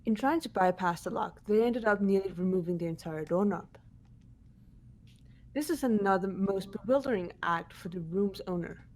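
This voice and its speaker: A woman's voice: medium pitch, lightly acted. Woman playing a composed, analytical student detective. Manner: measured, explanatory. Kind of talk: fan voice acting of a video game script.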